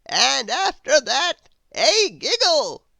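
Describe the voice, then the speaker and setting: A reader doing a loud, bossy wizard. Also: high-pitched, big pitch swings, takes it slowly, vocal fry, no echo, studio quality, pitched above the performer's own voice, full character voice. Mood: brisk. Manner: loud, emphatic and drawn out. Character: brisk bossy male wizard.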